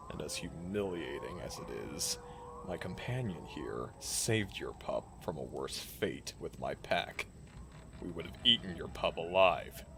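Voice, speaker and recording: Man playing a swaggering, gravelly alpha werewolf. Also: deep voice, expressive, slow pace, heavily acted. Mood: grave, grudging. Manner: taunting, theatrical, swaggering. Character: cocky, menacing male alpha werewolf, flirtatious rival. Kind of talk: dramatic audio roleplay acting. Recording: clearly noisy background.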